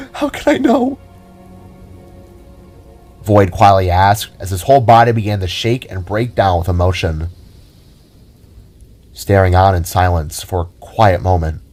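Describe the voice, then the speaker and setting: A man's voice: sits low, darkish tone. A man reading scripted, dramatic story narration for an amateur audio drama.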